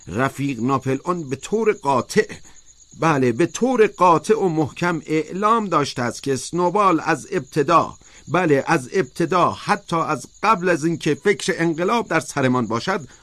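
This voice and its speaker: A man's voice: fairly high pitch, a bit bright, pitched above the performer's own voice. A man voicing a sly, fervent propagandist pig in a storybook, speaking in an emphatic, insistent, oratorical way. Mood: emphatic, triumphant. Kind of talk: audiobook character voice.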